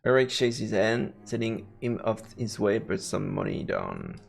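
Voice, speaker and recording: Animated French-accented man streaming a game. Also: fairly low pitch, unhurried, vocal fry. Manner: playful, theatrical reactions. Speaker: live streamer playing a visual novel. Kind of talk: reading game text aloud with casual commentary. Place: indoors, live game stream. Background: faint game music.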